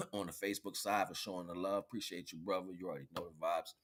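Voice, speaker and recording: A man's voice: sits low, fairly even intonation, fast talker, a bit bright. An American man hosting a livestream, chatting casually in slangy, informal street talk. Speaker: livestream host. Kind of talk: casual livestream chat with shout-outs.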